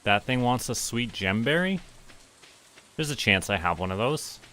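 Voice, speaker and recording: A man's voice: medium pitch, very animated, moderate pace, pushed and bright. A man chatting casually to himself as he plays a game on stream. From Canada. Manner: talks to himself while playing. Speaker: streamer playing a farming game.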